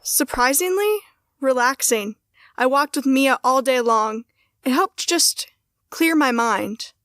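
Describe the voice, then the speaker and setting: Girl acting as young mom in an audio drama, high voice, earnest, affectionate, close dry sound. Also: expressive, slow pace, a bit bright, dry recording, exaggerated voice. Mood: calmer, affectionate. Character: young wife and mother, affectionate, downhearted but steady.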